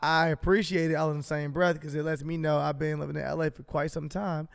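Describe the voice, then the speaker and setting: A young man from Chicago with a Chicago accent, co-hosting a podcast in loud, joking, cussing banter. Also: a little high, level tone, quick pace, creaky, clean tone. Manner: loud, joking, swears freely, slips into impressions. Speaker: podcast co-host.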